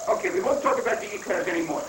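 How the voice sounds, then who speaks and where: A flamboyant foreign guru, played by a man in a comic stage reading, cheerfully dodging and rambling in mock-pious phrases. The voice sits high. It comes from off at a distance in an echoey room, on a noisy 1980s live recording. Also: animated, racing. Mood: playfully obtuse. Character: flamboyant wandering foreign guru, rock-obsessed, evasive and cheerful.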